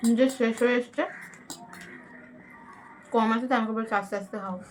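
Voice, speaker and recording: A woman's voice: fairly high pitch, fairly even intonation, a little fast, faint music behind. A woman chatting casually as a vlogger during an eating challenge. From Bengal. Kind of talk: casual vlog chat.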